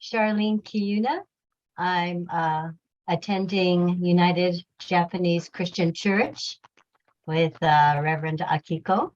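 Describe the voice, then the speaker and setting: A woman with an American accent speaks slowly in a somewhat low voice. She introduces herself informally, and the audio is dull and lo-fi. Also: steady tone. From Southern California, United States. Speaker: church member, panelist.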